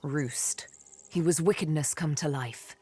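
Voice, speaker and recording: A woman's voice: low voice, unhurried, warm tone, slightly rough, no echo, faint music behind. A woman playing a cool, sardonic, vengeful elf assassin in a fantasy game, poised, wry and intimate. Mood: cold, vengeful. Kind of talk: fantasy video game acting.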